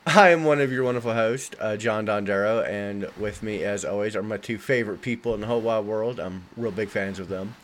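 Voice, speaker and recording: A man hosting a chatty podcast, his voice a little gravelly as he gets over an illness, cracking puns as he talks. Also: lowish voice, a little fast, hardly any pauses. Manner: punning, joking. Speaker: podcast host. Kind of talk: casual chat.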